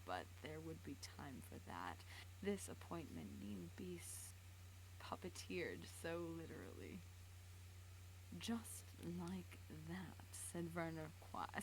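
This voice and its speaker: A woman with a lowish voice reads fan fiction on a stream. Her narration is dramatic, broken by stumbles and casual asides, with clear noise in the background. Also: low-quality recording. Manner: stumbles over words and rereads. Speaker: live stream reader.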